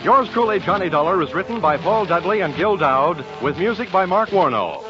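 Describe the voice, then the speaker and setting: A man's voice: high-pitched, expressive, a little fast. A man with an American accent reading a scripted 1940s network radio announcement. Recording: dull lo-fi 1940s radio, faint music.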